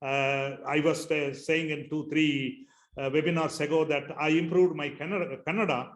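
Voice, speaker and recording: A middle-aged man with an Indian accent, a native Tamil speaker, giving a webinar presentation in a halting way with many pauses and fillers. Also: fairly high pitch, fairly even intonation. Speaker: school founder, webinar speaker. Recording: echoey room on a webinar call.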